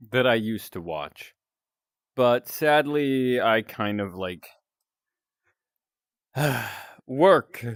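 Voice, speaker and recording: Deep-voiced male streamer, slow and dry. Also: clear, resonant tone, no echo. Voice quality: deep announcer-like voice. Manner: relaxed, rambling, chatting to viewers. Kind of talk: casual chat while playing a game.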